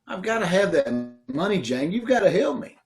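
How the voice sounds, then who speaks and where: A down-on-his-luck brother, played by a man, wheedling his sister for money. His voice sits high and jumps around anxiously, in the style of 1930s radio comedy. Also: very animated, fairly quick, over music. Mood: pleading. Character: pleading broke younger brother. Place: home over a video call.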